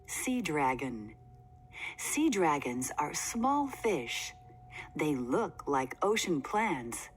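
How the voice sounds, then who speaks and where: A woman reads a children's book aloud at a slow, deliberate pace. Her slightly low voice rises and falls in lively swings. There is faint noise behind her. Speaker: read-aloud narrator.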